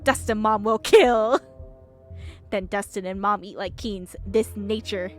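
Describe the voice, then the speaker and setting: A woman's voice: mid-range voice, steady tone, takes it slowly, faint music behind, natural voice. Woman voicing a simple, blunt little creature. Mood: fierce. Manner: broken caveman-like grammar, blunt short phrases. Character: simple, devoted, childlike creature and its fierce mom.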